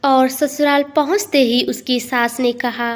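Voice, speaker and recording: A woman narrates a scripted family tale. Her voice sits a little higher than average. She delivers it as a storyteller. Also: steady tone, a little slow.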